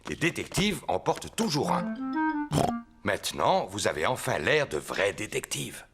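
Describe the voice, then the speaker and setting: A man's voice: fairly low pitch, wildly swooping pitch, a little slow, over loud music, heavily acted. A man voicing a jovial, good-natured father pig in a children's cartoon dub, hearty as he explains things. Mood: jovial, explaining. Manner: hearty chuckling, warm explaining.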